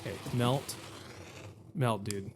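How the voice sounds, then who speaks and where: A man's voice: fairly low pitch, slow pace, music in the background. A man hosting a gaming video with casual let's-play commentary, thinking aloud in a wry, jokey way. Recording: game ambience and noise behind voice.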